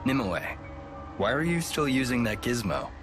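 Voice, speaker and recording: A man voicing a steady, laconic colony commander in a video game. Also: medium pitch, normal speed, music in the background, close to an everyday voice. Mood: calm, trusting.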